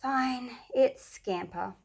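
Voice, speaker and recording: Storybook boy club leader read by a woman: grumpy, huffy, bossy, slightly raised pitch. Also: extremely slow, gravelly, breathy edge, heavily acted. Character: grumpy, officious schoolboy leading a secret club.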